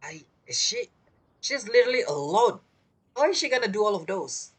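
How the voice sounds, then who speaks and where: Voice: medium pitch, very animated, moderate pace, fairly bright tone, breathy edge. A game streamer giving casual, informal live reaction commentary.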